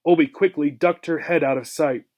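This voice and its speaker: A man narrating a children's story aloud in an expressive read-aloud style. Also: on the high side, normal speed. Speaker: reader, teacher.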